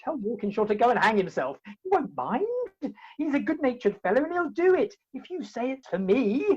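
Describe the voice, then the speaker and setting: A woman playing a bumbling, lovesick Victorian gentleman in a comic play, with an English accent and blustering, theatrical delivery, recorded at home over a video call. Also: a little high, big pitch swings, a little fast, breathy edge, lo-fi sound. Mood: ardent. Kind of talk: comic stage-play acting.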